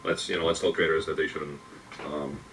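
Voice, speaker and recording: A man answers interview questions quickly in a deep yet bright voice. He sounds hesitant and reassuring and leans on fillers. He is picked up from a distance, with faint room ambience behind him. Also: level tone, a little hiss or hum. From Czechoslovakia. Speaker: tech executive interviewee.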